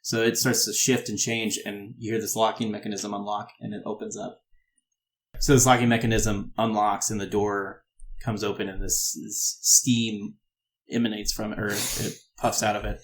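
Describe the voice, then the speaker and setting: A man narrating a tabletop game as game master, improvising descriptions in a halting, descriptive way. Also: lowish voice, level tone.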